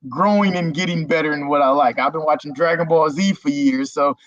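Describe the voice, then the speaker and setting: A man's voice: on the high side, brisk, no echo. Easygoing man on a lo-fi stream call. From Germany. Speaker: guest content creator. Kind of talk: casual interview talk. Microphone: video call. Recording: dull lo-fi stream audio.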